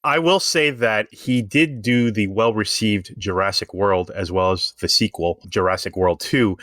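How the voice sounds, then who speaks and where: A man's voice: lowish voice, measured pace, a bit bright, no room sound, clean studio sound. A man co-hosting a podcast, talking casually and giving opinionated explanations. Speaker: podcast co-host.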